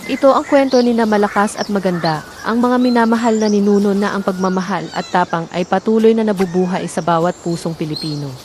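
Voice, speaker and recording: Woman narrating a folktale, medium pitch. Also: a little fast, a little mellow, slight background noise. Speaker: storyteller.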